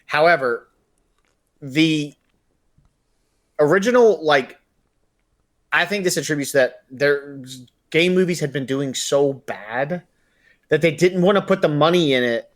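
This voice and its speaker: A male podcast co-host with a slightly high voice chats casually. He is informal and opinionated, sharing his views in a conversational style. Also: expressive, measured pace, long pauses. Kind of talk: casual podcast chat about movies.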